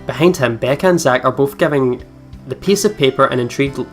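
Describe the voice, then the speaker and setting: A very low-pitched woman does casual game commentary over background music. She reads story narration aloud and drops in chatty asides as she reacts to it. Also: fast. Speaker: let's play video host. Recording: music behind the voice. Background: visual novel game music.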